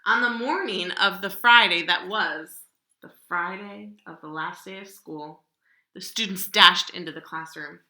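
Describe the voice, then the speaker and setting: A woman reading a children's picture book aloud in an expressive, dramatic way, drawing out key words. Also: on the low side, a little slow, roomy sound. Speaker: storybook reader.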